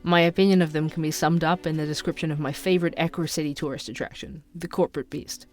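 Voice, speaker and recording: An actor playing a dogged, wry young male investigative podcaster in an audio drama, with a dry, measured, sardonic delivery. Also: medium pitch, steady tone, faint music behind, natural voice. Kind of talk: scripted narration and dialogue, audio drama.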